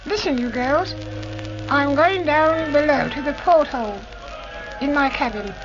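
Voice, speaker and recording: A woman in a vintage radio play voicing Johnny, an eager, brave young boy who chatters excitedly. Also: high-pitched, measured pace, over music. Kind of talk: 1940s radio acting. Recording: dull noisy old radio recording.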